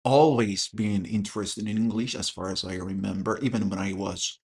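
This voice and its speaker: A man's voice: lowish voice, fairly even intonation, normal speed, a little mellow. A man in his thirties, an English teacher hosting a casual podcast chat, who talks hesitantly with many fillers and restarts. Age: 30s. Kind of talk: casual conversation.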